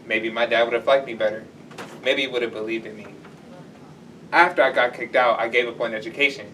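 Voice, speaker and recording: A man's voice: medium pitch, fairly even intonation, a little fast, pushed and bright, close to an everyday voice. A man performing an earnest, confessional stage monologue as a remorseful failed rocker who faked being a teacher. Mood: apologetic, wistful. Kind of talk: live theatrical monologue. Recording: distant stage mic with background noise.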